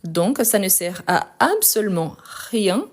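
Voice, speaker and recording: Woman, live French lesson host, relaxed and talky, often restarts mid-sentence. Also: mid-range voice, takes it slowly. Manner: chatty, many fillers and restarts. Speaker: French teacher. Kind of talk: live online lesson, answering comments. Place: in France.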